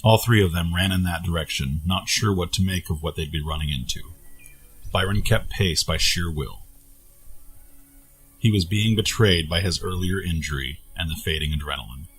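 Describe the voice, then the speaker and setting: A man's voice: sits low, normal speed, a bit bright, soft background music, poor audio. A man reading scripted narration for a fantasy adventure story.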